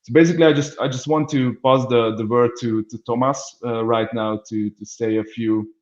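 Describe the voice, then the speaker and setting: A man's voice: mid-range voice, steady tone, moderate pace, echoey room, lo-fi sound. A man with a Hungarian accent opening a business webinar on a video call, speaking hesitantly with many fillers and restarts. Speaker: business development manager. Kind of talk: webinar introduction.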